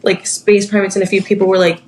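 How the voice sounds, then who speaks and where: A woman's voice: medium pitch, little pitch movement, fast talker, background noise. A young woman from Philadelphia, a DJ and singer, talking casually as a podcast guest with lots of filler words. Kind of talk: casual interview talk.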